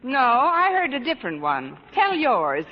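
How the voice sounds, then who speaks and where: Wisecracking schoolteacher, muffled vintage radio. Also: female voice, high-pitched, huge pitch swings, measured pace, exaggerated voice. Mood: dry, wry. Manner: dry, sardonic wisecracks. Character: witty, wisecracking adult female high-school English teacher. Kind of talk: 1940s-50s radio sitcom acting. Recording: noisy muffled vintage radio, studio audience laughter.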